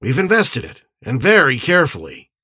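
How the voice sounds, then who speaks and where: A man voicing an indignant, self-assured man with a brisk, affronted manner in an audiobook. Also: medium pitch, wildly swooping pitch, sharp tone, breathy edge, no room sound, clean studio sound, voice pushed up, exaggerated voice. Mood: amused, smug.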